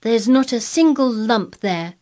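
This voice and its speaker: Woman acting a furious little girl, high-pitched. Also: lively intonation, a little slow, darkish tone. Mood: firm, scornful. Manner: fierce, commanding, scolding, stubborn. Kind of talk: dramatised storybook reading.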